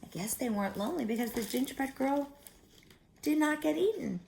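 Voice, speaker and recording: A woman reading a story aloud to young children like a teacher, expressively, pausing to add simple explanatory comments. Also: sits high, expressive, creaky, a little mellow, a little hiss or hum.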